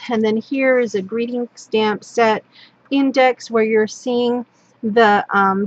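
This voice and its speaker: A woman from Northern California, hoarse and losing her voice, casually walking through a product catalog with lots of ums and restarts, recorded at a table with an overhead camera. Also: medium pitch, dull audio. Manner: rambling, full of ums and restarts. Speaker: craft product demonstrator. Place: indoors at a wooden table. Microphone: overhead camera on a tabletop, hands only.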